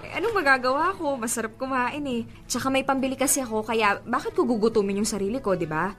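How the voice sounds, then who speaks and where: A woman's voice: fairly high pitch, lively intonation, fast, a bit bright, faint music behind. An actress playing a good-humored, skeptical young single woman in radio drama dialogue, bantering expressively and mock-scolding. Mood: playful.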